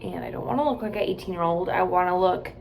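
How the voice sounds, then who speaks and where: A woman's voice: lowish voice, fast talker, vocal fry, a little hiss or hum. A woman in her late twenties chatting casually to camera from a hotel room, rambling with lots of "like" and "um". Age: 20s. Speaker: vlogger.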